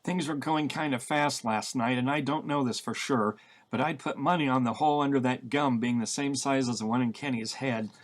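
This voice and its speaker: Audiobook role: male reader as hard-edged fugitive woman, sharp, sarcastic, short on patience. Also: medium pitch, steady tone, moderate pace, natural voice. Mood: exasperated. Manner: blunt, sarcastic. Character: sharp, exasperated, tough-talking woman on the run.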